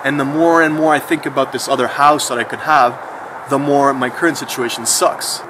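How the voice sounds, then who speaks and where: A man's voice: mid-range voice, fairly quick, noisy background. A man in his thirties musing in a casual, slangy, chatty monologue for his vlog. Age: 30s. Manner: relaxed and chatty, with slangy asides. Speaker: vlogger.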